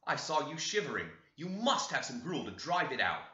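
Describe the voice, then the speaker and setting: A man's voice: fairly high pitch, sing-song, moderate pace, sharp tone. A man voicing a talkative, homely woman housekeeper who tells family history with chatty emphasis in an audiobook. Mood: motherly. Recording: roomy, echoey, slightly dull sound.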